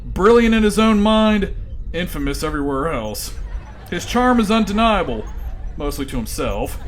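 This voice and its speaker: A man's voice: high-pitched, sing-song, moderate pace, slight background noise. A man narrating a comedy radio drama with grand, theatrical delivery.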